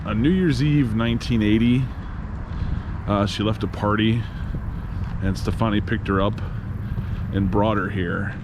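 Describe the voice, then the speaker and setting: A man with a fairly low voice narrates an on-location vlog. He is informal and matter-of-fact, like a guide. Loud wind and vehicle noise runs behind him. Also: steady tone, a little slow, pauses often. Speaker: host, true crime tour guide. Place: on location with a camera.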